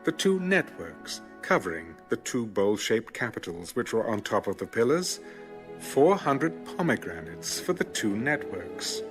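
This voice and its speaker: A man reading scripture as a scripted audio narration, formal and measured. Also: on the low side, sing-song. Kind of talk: scripted audio Bible narration, read aloud. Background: instrumental music.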